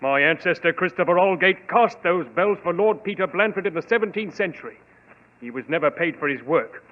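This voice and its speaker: An aggrieved, defiant claimant. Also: male voice, high voice, lively intonation, brisk. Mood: bitter indignation. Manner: bitter, forceful, theatrical. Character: aggrieved, defiant British claimant to a family inheritance. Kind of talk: 1940s radio acting. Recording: echoey, tinny vintage radio recording.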